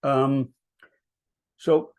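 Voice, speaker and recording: An older man from Palestine, telling his family story at a vigil in a soft, hesitant way with many ums. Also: mid-range voice, flat delivery, drawn-out words, clean tone. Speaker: vigil speaker. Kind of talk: personal storytelling.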